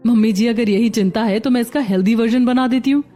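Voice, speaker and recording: Woman as a mellow, patient young daughter-in-law. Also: fairly high pitch, fast talker, soft background music, close to an everyday voice. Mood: helpful. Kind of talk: Hindi cartoon story acting.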